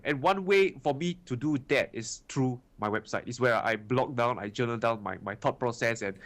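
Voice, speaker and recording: A man in his twenties with a Singaporean English accent. He answers interview questions casually and at an easy conversational pitch, peppering his sentences with "right" and "you know." He sounds like he's telling his story off the cuff. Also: a bit bright. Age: 20s. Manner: chatty, frequent "you know" and "right" tags. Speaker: trading educator, guest.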